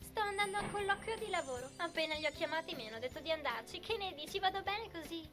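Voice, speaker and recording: A squeaky, girlish anime sweetheart. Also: expressive, fast talker, music in the background. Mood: sweet, polite. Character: bubbly, sweet, naive young anime woman. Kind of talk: amateur anime fan dub.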